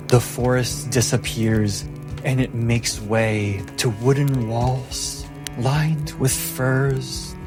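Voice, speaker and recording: A man narrating a tabletop fantasy game as game master, with deliberate, suspenseful phrasing and dramatic pauses. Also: lowish voice. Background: music.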